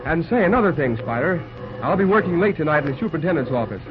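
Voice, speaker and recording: An actor playing a firm, level-headed young American railroad superintendent in an old-time radio drama, speaking in a clipped, businesslike way. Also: male voice, a little high, sing-song, quick pace. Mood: guarded, friendly. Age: young adult. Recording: dull, lo-fi old radio broadcast recording.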